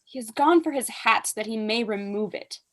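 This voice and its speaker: A woman's voice: on the high side, moderate pace, natural voice. A young woman acting a haughty, witty aristocratic mother in a staged reading, pompous, arch and declamatory. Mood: arch, imperious. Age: young adult. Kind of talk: staged reading of a period comedy.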